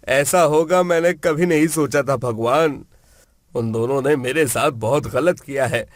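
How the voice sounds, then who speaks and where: A man's voice: medium pitch, no echo, clean studio sound, close to an everyday voice. A man voicing an old village farmer father, earnest, plaintive and heartbroken, in a storybook tale. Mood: despairing.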